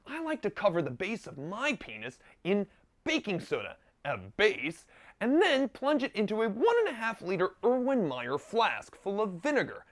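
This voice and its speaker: A man's voice: very high voice, a bit bright, heavily acted. A man playing an over-enthusiastic science presenter in a parody lesson, lecturing with theatrical emphasis. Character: zany, over-enthusiastic science show educator.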